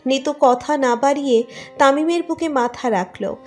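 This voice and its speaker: A woman's voice: high-pitched, normal speed, a little mellow. A woman reading a scripted romantic story as its narrator. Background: music under the narration.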